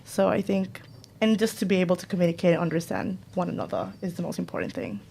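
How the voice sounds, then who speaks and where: A woman's voice: fairly low pitch, quick pace, gravelly, warm tone, husky. A woman from the Philippines on a radio panel, chatting casually with lots of "like". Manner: casual, many likes. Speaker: student guest.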